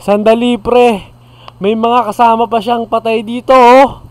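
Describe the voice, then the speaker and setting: A man's voice: extremely high pitch, flat delivery, measured pace, dry recording. A young man playing an alarmed camper, speaking urgently in an amateur student radio drama. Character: alarmed young male camper calling his buddy.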